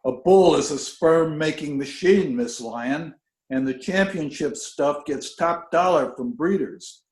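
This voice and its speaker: A man's voice: on the high side, unhurried, a little mellow, natural voice. A man reading a story aloud, voicing a crude, boastful, folksy male bull breeder. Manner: blustering, folksy. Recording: roomy, echoing video call audio.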